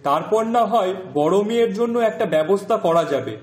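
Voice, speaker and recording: A man voicing a gentle, worried middle-aged father in a cartoon, reasoning and pleading as a peacemaker. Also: high voice, animated, a little fast. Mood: pondering. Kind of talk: Bengali cartoon voice acting. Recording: roomy echo, music behind the voice.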